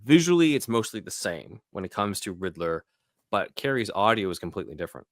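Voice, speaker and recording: A man with a very low voice hosts a casual film podcast. He explains things scene by scene in a halting, conversational manner. The sound is dry and close. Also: expressive, no echo. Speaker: podcast host.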